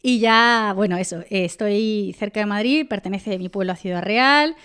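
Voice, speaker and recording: A woman's voice: mid-range voice, normal speed, a bit bright, smooth. A woman from Spain with a Castilian accent, explaining things in articulate detail as a guest analyst in a conversational podcast interview. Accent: Castilian Spanish. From Spain, La Mancha. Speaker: guest journalist and political analyst.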